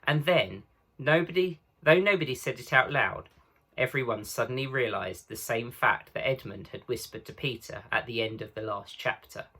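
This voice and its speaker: Bright-voiced man reading a storybook aloud. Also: mid-range voice, normal speed, breathy edge. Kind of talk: storybook narration for children.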